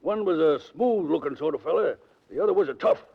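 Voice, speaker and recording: High raspy old male sea captain, rustic grammar, folksy, vintage muffled radio drama. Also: expressive, a little fast, exaggerated voice. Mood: folksy, helpful. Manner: rustic, ungrammatical speech. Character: folksy old boat captain, plain and helpful. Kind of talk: 1940s radio acting.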